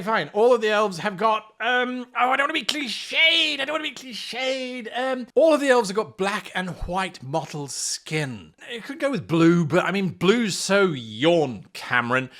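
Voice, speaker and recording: Highly animated, high-pitched man explaining tabletop games. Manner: theatrical, playful, sarcastic asides. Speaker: game master presenting an advice show.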